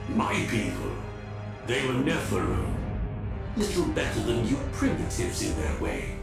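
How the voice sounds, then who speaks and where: Slow, echoing alien overlord. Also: male voice, mid-range voice, wildly swooping pitch. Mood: cold scorn. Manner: slow, deliberate, condescending. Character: ancient alien overlord, scornful, coldly condescending. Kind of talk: video game cutscene acting. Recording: echoing, with loud music.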